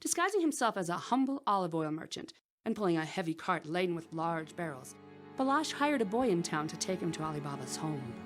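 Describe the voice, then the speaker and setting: A woman's voice: fairly low pitch, big pitch swings, a little fast, faint music behind. A woman narrating a radio drama in a scripted storytelling style.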